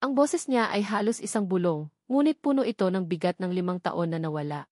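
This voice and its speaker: A woman's voice: medium pitch, animated, a little fast, a little mellow, dry recording. Woman narrating dramatically, dry close sound. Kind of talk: dramatic scripted romance story narration in Tagalog audio drama format.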